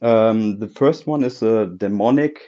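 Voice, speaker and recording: A man with a German accent calls in and speaks English hesitantly, stopping to search for words. His voice is low and mellow. The sound is dull and lo-fi. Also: unhurried. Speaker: caller telling a ghost story.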